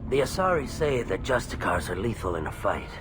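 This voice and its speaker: A man's voice: fairly low pitch, very animated, brisk, background noise. A man playing an anxious, put-upon alien merchant in a video game, with a nervous, aggrieved manner. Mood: wary, grim.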